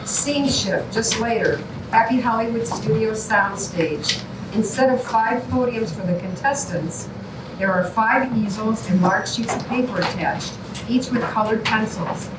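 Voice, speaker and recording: A woman's voice: on the high side, a little slow. A woman reading scripted stage directions as narrator of a staged reading. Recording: noisy, echoey, distant stage recording.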